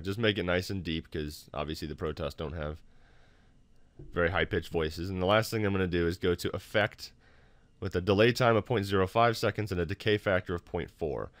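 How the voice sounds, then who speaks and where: Casual male tutorial host, lowish pitch, informal explainer, dry home-office sound. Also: dry recording. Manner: informal, self-deprecating, explanatory. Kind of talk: casual screen-recorded software tutorial.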